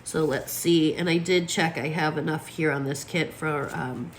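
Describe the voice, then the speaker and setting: Planner vlogger, woman, slightly low, froggy from allergies, easygoing chatter, faint room hiss. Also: steady tone, normal speed. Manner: chatty, lots of fillers, talks while placing stickers. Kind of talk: casual chat while crafting.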